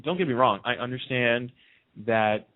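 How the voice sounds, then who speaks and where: A man's voice: medium pitch, normal speed. A man hosting a talk show, chatting casually and giving opinions emphatically, often repeating a point for emphasis. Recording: narrow, muffled phone-like sound.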